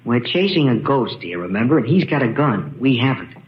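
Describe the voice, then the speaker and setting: An actor playing a brash, wisecracking reporter in a 1940s radio mystery, breezy, slangy and protective. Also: male voice, mid-range voice, very animated, a little fast, lightly acted. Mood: breezy, bold. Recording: muffled, noisy 1940s radio recording.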